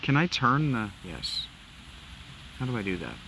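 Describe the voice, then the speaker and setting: A man's voice: medium pitch, very animated, a little slow, vocal fry, pushed and bright, a little hiss or hum, low-quality recording. A man from Ohio giving casual, rambling commentary while playing a video game, reminiscing and swearing casually. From Ohio, USA. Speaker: let's play gamer.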